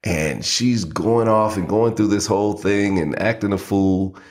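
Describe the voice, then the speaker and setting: Man, podcast co-host, very deep voice, casual storytelling, restarts. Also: fairly even intonation, unhurried, a little mellow. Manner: storytelling, frequent restarts and fillers. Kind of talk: casual chat.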